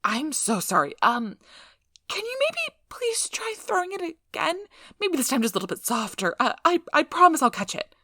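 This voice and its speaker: Nervous, eager female researcher, played by a woman for ASMR audio drama: bright, very animated, apologetic rambler. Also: sits high, moderate pace, dry recording, full character voice. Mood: anxious, apologetic. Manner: flustered, apologetic, rambling, nerdy enthusiasm.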